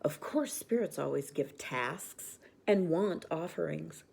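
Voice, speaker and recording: A woman narrates a picture book for children at bedtime. Her voice sits low and has a warm, rounded tone, and she tells the story expressively. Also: animated, slow, gravelly, slightly rough.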